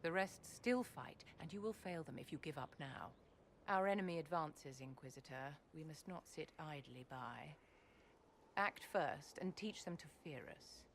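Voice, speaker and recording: An actress playing a poised, steely court adviser in a video game, speaking in a formal, measured, imperious manner. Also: female voice, sits low, slight background noise. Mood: firm, urging. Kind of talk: video game character acting.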